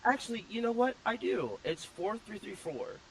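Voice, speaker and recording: Defensive man on a call, stammering, fairly high voice, lots of pitch movement. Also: sing-song, a loud crowd, poor audio. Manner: defensive, halting, stumbling over words. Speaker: caller. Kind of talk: heated live-call argument.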